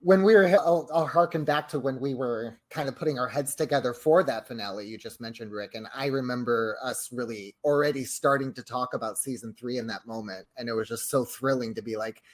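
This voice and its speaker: A man's voice: medium pitch, fairly quick, few pauses. A man co-hosting a casual podcast conversation, effusive and courteous as he puts questions to colleagues.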